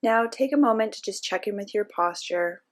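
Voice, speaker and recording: A woman narrating a guided meditation as a teacher, giving gentle step-by-step instructions with frequent pauses. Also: medium pitch.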